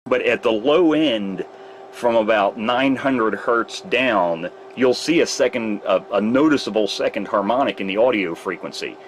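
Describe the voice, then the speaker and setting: A man's voice: fairly low pitch, moderate pace, a little hiss or hum, poor audio. A man who repairs radios, casually explaining his test setup step by step in a workshop. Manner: rambling, explains gear step by step. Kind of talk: casual technical demonstration. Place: repair shop workbench with test gear.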